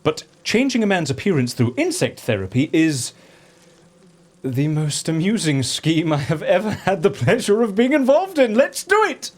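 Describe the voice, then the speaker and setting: Excitable, theatrical eccentric, wildly swooping pitch. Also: male voice, normal speed. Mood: gleeful relish. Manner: theatrical, excitable, grandly articulate. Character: gleefully eccentric male interviewer who relishes absurd schemes. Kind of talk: comic audio drama acting.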